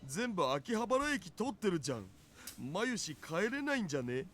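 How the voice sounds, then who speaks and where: High-voiced anime geek. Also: male voice, big pitch swings, quick pace, a bit bright. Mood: mildly alarmed. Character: casual, slangy young male anime geek. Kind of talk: Japanese visual novel voice acting.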